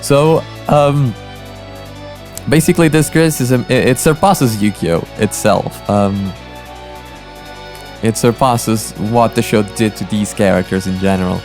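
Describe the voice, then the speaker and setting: Low-pitched man giving casual commentary. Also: a little slow, a little mellow, faint music behind. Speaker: reaction video host.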